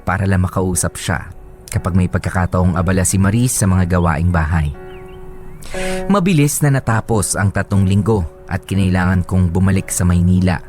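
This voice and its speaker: A man's voice: low voice, normal speed, darkish tone. A man with a Filipino accent reading a scripted first-person story as a radio narrator, with music behind him.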